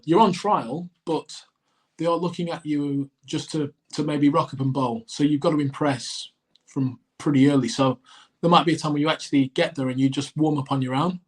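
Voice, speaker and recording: Male co-host with a croaky throat, measured and explanatory. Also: fairly high pitch. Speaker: podcast co-host. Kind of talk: casual podcast chat.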